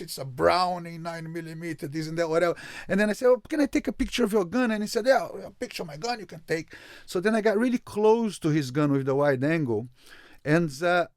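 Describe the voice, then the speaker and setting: A man from Brazil, a photographer and interview guest, telling a story in an animated way, often repeating words and restarting his sentences. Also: high voice, no echo, clean studio sound.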